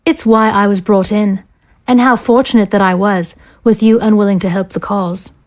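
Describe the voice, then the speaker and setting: A cold, smug female scientist in command, played by an actress in an audio drama. Her voice sits at a middling pitch, and her wording is formal, measured and sardonic. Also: steady tone, close to an everyday voice. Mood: triumphant.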